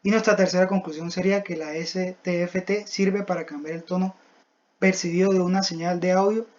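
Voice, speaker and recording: A young adult student giving a scripted technical presentation in a halting, didactic, step-by-step manner. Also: mid-range voice, steady tone, a little mellow. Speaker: student presenting a class project. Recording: dull, lo-fi voice recording.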